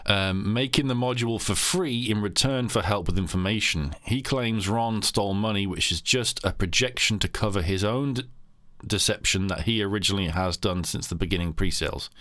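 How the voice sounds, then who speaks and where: A man's voice: fairly low pitch, moderate pace, a bit bright, no echo. A man hosting a casual podcast talk, rambling with many fillers and restarts. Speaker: podcast host.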